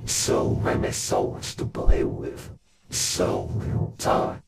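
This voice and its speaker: A man voicing a menacing, sadistic animatronic rabbit monster with a growling rasp, taunting in drawn-out horror-game villain lines. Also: natural voice. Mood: gloating menace. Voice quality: gravelly, growling, breathy rasp. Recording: music under the voice.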